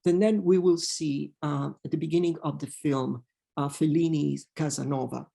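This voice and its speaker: A man with an Italian accent, a native Italian speaker, giving a hesitant lecture full of fillers and slowly reading poetry aloud. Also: on the high side, fairly even intonation, gravelly, warm tone. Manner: hesitant, many fillers, reads verse slowly. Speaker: literature professor.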